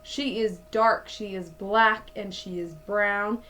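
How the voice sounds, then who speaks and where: A woman in her thirties reading a picture book aloud to children like a teacher, with deliberate, dramatic emphasis. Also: medium pitch, steady tone, a bit bright, a little hiss or hum, low-quality recording. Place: small indoor room at home.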